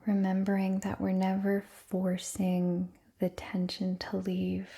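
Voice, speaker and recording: A woman guides a meditation in a breathy, near-whispered voice with a mellow, dark tone. Her delivery is very slow and soothing, with long pauses between phrases. The intonation stays quite flat and gentle throughout. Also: medium pitch.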